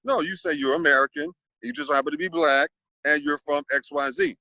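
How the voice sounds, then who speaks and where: A man's voice: on the high side, fairly quick, sharp tone, no room sound, poor audio. A man leading a casual panel discussion, thoughtful and rambling with many restarts.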